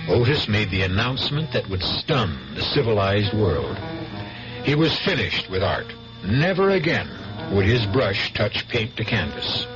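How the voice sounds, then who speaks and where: An actor playing a grave, theatrical narrator of a 1970s radio mystery, portentous and measured in delivery. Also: male voice, lowish voice. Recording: dull old radio broadcast. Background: music.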